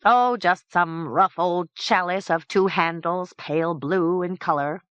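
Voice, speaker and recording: A woman voicing a fussy, fastidious old knight in a storybook reading, slow and particular. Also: lowish voice, a bit bright, clear, resonant tone, no echo. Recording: dull dry lo-fi recording.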